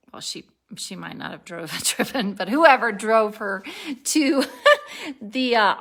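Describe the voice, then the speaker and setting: Woman, 60s, unhurried video-diary chat, bright tone, worn out, self-correcting and giggly. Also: mid-range voice, vocal fry. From born in Turkey, raised abroad, lives in Colorado. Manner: rambling, self-correcting, laughs at herself. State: tired, a little spacey. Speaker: vlogger. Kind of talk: casual daily video diary.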